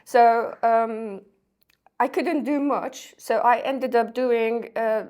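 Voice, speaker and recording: A woman in her thirties, from Syria, talking as a podcast guest in a hesitant way with many pauses and restarts. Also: on the high side, fairly even intonation. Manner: soft-spoken, hesitant, with many ums and restarts. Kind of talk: casual interview talk.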